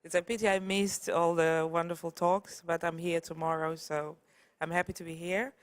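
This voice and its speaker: A tired woman from Zimbabwe speaks earnestly at a conference, in a fairly low voice. She stumbles and restarts a little as she goes. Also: flat delivery, normal speed. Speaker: conference speaker, artist and curator. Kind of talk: conference talk. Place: conference hall.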